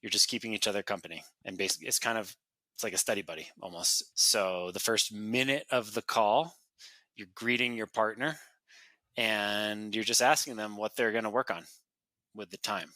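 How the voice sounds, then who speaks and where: Guest founder: lower-pitched man, bright tone, dry close sound, laid-back and chatty. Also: normal speed, no room sound. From North America. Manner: relaxed, chatty, laughs easily, frequent fillers. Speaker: podcast guest, company founder. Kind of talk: casual interview talk.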